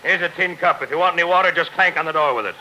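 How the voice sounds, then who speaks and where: An actor playing a gruff, bossy steerage steward with a curt deadpan manner in a 1940s radio comedy. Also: male voice, on the high side, rapid-fire, a crowd in the background, lightly acted. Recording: faintly noisy old radio recording.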